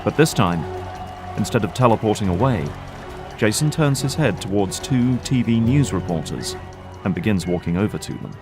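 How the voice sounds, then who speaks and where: A man's voice: on the low side, animated, moderate pace, over music. A man narrating a scripted audio drama.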